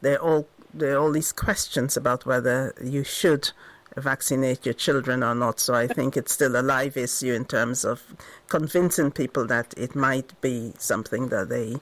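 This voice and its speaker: A woman radio interviewer with a halting, conversational way of asking, repeating and rephrasing her words. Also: a bass voice, fairly even intonation.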